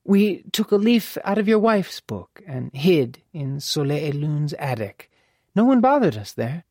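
A woman's voice: low-pitched, big pitch swings, measured pace, a little mellow, no room sound, clean studio sound, full character voice. An audiobook narrator voicing a fond, wry older mother, a formal and imperious woman who teases as she talks. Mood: gentle, composed. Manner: formal, crisp, teasing phrasing. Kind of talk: audiobook character dialogue.

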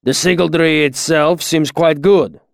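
A huge, shaggy master artificer speaks with stern gravity as a male narrator plays him. His phrasing is slow, formal and exacting, like a patient but demanding mentor in a fantasy audiobook. The sound is dry, with very little room. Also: fairly high pitch, steady tone, creaky, no echo, pitched above the performer's own voice. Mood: measured appraisal. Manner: slow, grave, formal, oddly ordered phrasing. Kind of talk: audiobook character dialogue.

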